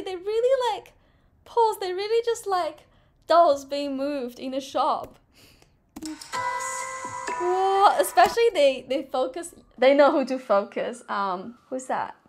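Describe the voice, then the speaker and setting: A young adult woman giving casual, excited reaction commentary in an expressive, exclaiming way, recorded on a lapel mic in a bedroom. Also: very high-pitched, slow pace, music in the background. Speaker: reaction video host. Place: small bedroom, indoors. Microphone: clip-on lapel mic.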